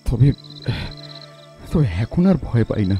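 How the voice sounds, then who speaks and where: A man's voice: medium pitch, big pitch swings, slow pace, warm tone, faint music behind. An actor playing a haunted, guilt-ridden doctor in an audio horror drama, stammering and repeating his words. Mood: anguished.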